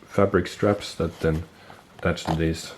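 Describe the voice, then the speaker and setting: A man with a stuffy nose, casually explaining military gear on camera, relaxed and thinking aloud with fillers and self-corrections. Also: sits low, measured pace, slight background noise. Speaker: military gear collector and video host. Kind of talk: casual show-and-tell explanation.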